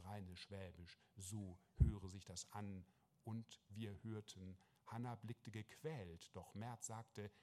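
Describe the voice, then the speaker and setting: A man reading a first-person literary story aloud, measured and wry, with small restarts. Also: medium pitch, darkish tone. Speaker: narrator. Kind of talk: first-person literary narration, reported speech.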